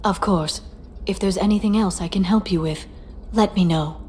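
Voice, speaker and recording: A woman playing a cool, composed information broker in video game dialogue, measured and dry. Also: mid-range voice, darkish tone, natural voice.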